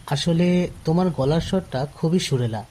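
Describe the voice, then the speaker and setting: A man playing a shy, earnest fourteen-year-old schoolboy in an audio drama, speaking quietly. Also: on the high side, moderate pace, lightly acted. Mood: warm, curious.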